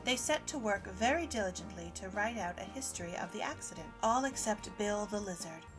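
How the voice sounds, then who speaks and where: A woman's voice: medium pitch, animated, fairly quick. A woman reading scripted storybook narration as the narrator of an audio drama. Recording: music under the voice.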